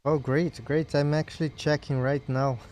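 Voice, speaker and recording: A man's voice: a little high, flat delivery, moderate pace, darkish tone. A man operating amateur radio, chatting casually in a friendly way and spelling things out phonetically. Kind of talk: casual two-way ham radio chat.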